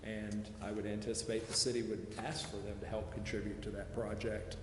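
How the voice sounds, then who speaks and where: A man explaining details at a public meeting in a measured, qualifying way. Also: on the low side, level tone. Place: large echoey meeting room, council chambers. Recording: echoey hall, background hiss.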